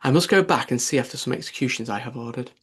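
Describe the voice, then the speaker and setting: A man's voice: mid-range voice, animated, fast, a bit bright, husky. A man voicing a savage, imperious Queen in a storybook, blunt and commanding. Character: imperious, savage Queen, quick to order executions.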